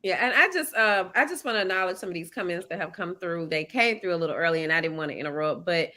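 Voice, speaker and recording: A woman's voice: fairly low pitch, fast talker, pushed and bright. A woman in her thirties co-hosting a casual chat show, playful and laughing, reading out viewer comments. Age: 30s. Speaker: co-host.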